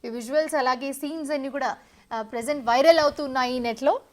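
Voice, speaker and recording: A woman's voice: high-pitched, fast talker. A woman news anchor, presenting live and putting questions to a reporter.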